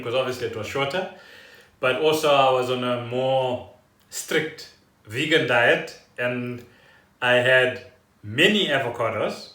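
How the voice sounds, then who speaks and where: A bright-voiced man talks from a little way off the mic in an echoey living room. He chats casually as a podcast co-host, rambling through explanations like someone telling a story. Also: mid-range voice. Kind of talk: casual chat. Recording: roomy echo, distant from the mic.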